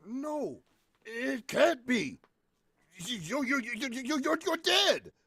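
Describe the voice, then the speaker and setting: Man as a raspy, melodramatic radio villain. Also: very high-pitched, sing-song, slow pace, sharp tone, no echo, clean studio sound, full character voice. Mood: terrified. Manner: melodramatic, stammering. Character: menacing male property agent turned panicked, cowardly villain. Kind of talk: 1940s horror radio acting.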